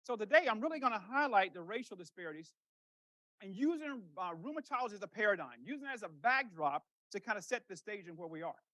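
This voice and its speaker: Brisk, bright-voiced man lecturing. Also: high-pitched, lively intonation, no echo, poor audio. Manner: storytelling, conversational, asks rhetorical questions. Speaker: rheumatologist giving a lecture. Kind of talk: lecture to a professional audience. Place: lecture room.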